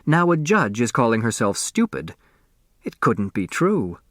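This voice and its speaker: Male narrator for dramatic fiction audiobook: unhurried, medium-pitched, recorded dry. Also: big pitch swings, fairly bright tone, dry recording. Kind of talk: scripted dramatic story narration.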